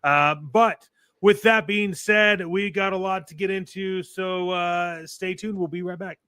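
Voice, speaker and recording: A man with a fairly high voice hosts a show in casual, conversational talk. He is playful and given to mock-grand flourishes. The sound is dry, with very little room in it. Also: fairly even intonation, unhurried, pushed and bright, clean tone, no room sound. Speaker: podcast host. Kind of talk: casual chat hosting a show.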